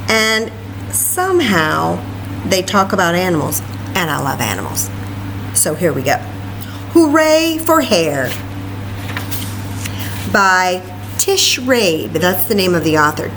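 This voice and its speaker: Animated woman hosting children's story time. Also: fairly low pitch, noisy background. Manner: encouraging, chatty, animated, with asides to the listening children. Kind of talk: sing-song story time for young children, singing, read-aloud and chatting.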